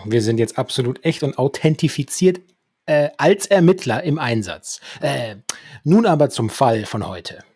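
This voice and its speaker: Male co-host for an audio drama review show. Raspy edge, mid pitch, wordy and mock-formal delivery, very dry recording. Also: animated, a little slow, darkish tone, no echo. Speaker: podcast co-host. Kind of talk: semi-scripted radio drama discussion with free commentary.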